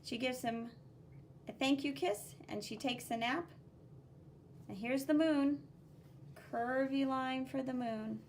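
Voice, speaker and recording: High-voiced woman reading slowly to children. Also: a little hiss or hum. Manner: simple, instructive, sing-song emphasis on key words. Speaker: art teacher reading a picture book. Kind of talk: expressive read-aloud with drawing commentary for children.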